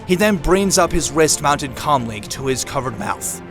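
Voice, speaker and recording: A man's voice: on the high side, expressive, moderate pace. A man narrating an action audio drama from a script, describing the scene in the present tense. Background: dramatic music.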